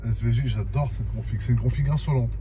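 A man's voice: mid-range voice, fast talker. A man with a stuffy cold, telling stories in casual, profane slang. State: congested with a cold. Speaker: vlog guest, car enthusiast. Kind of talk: casual slangy chat and storytelling. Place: inside a moving car. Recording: clear car and engine noise.

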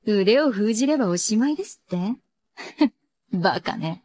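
A woman's voice: mid-range voice, lively intonation, moderate pace, darkish tone, natural voice. A woman voicing a cold, merciless teenage princess in an anime, imperious, taunting and grandiose. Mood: contemptuous. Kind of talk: anime voice acting.

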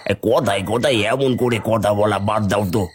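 A man's voice: lowish voice, fairly quick, a little hiss or hum, lightly acted. A man voicing a fair-minded, kindly village husband and uncle who reasons reproachfully in a children's cartoon.

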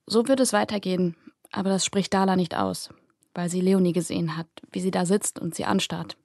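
A woman's voice: lowish voice, steady tone, a little fast, darkish tone, no echo. A woman narrating a fantasy audiobook in a close, inward, present-tense storytelling manner.

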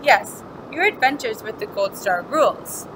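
A woman voicing a patient male kindergarten teacher from a storybook, measured and instructive. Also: fairly high pitch, lively intonation, background noise.

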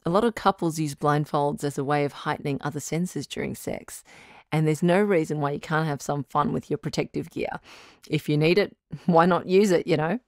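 Woman acting as radio advice doctor, low female voice, frank, reassuring, conversational, dry close studio sound. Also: moderate pace, no room sound. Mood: upbeat, encouraging. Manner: encouraging, frank, matter-of-fact. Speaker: radio advice show host.

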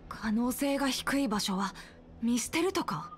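High, earnest youthful apprentice. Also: female voice, animated, a little slow, a little hiss or hum. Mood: worried. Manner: earnest, questioning. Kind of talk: Japanese anime game voice acting. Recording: music behind.

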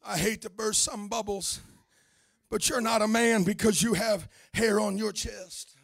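A middle-aged American man preaching an impassioned church sermon in a forceful, emphatic manner, building his point through repeated phrases. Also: high-pitched, steady tone, a little slow, creaky, dry recording, clean studio sound. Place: indoor church meeting room, at a pulpit. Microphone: handheld microphone held close.

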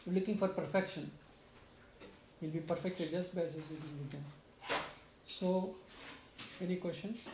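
A man's voice: normal speed, long pauses. Earnest young man giving a devotional talk. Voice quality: soft low speaking voice. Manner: earnest, explaining, often asks "right?". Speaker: speaker summing up a satsang. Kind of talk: devotional talk to a small group. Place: home room with shrine, indoors. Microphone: stand mic in front, filmed by far camera. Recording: roomy echo with clear background noise.

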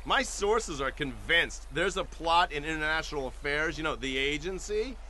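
Brash, high-pitched federal agent. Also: male voice, expressive, normal speed, sharp tone, a little hiss or hum. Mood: urgent conviction. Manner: brash, sneering, bossy. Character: cocky, abrasive male federal agent in video game. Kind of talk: video game dialogue acting.

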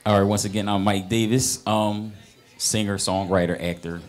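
A man's voice: fairly low pitch, fairly even intonation, moderate pace, faint music behind. A man, a singer and actor, earnestly talking about his role in a casual interview, speaking into a handheld mic in a restaurant. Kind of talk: interview answers. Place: restaurant with wood-paneled walls.

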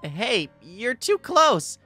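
A man's voice: extremely high pitch, very animated, extremely slow, bright, forceful tone, soft background music, full character voice. A man playing an easygoing teenage boy narrating a romantic comedy manga story, explanatory and fondly exasperated. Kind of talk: scripted manga narration and dialogue.

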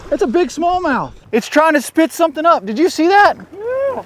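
A man's voice: very high-pitched, sing-song, normal speed, a little hiss or hum. Young man narrating his fishing day. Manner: casual, talks to himself and the camera crew between casts. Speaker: professional bass angler. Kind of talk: running commentary while fishing. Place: outdoors on a bass boat on an open lake.

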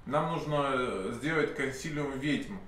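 A man in his thirties with a slight cold, telling a long story to camera, full of pauses and restarts. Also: mid-range voice, fairly bright tone. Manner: rambling, many hesitation sounds and restarts. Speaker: self-styled sorcerer telling a client's story. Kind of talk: unscripted monologue to camera. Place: indoor office room with candles. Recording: very echoey room, faint hiss.

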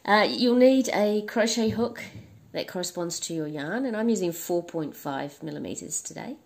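A woman hosting a crochet tutorial, her voice husky and hoarse from the flu, chatting casually with frequent ums and restarts. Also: lowish voice, animated, normal speed, a little mellow. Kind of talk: casual instructional talk.

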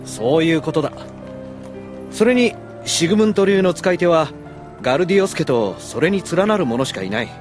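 A man's voice: on the high side, animated, fairly quick, soft background music, close to an everyday voice. A man playing a friendly, easygoing young swordsman in an anime audio drama, speaking in a casual way. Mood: explaining. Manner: casual, explanatory.

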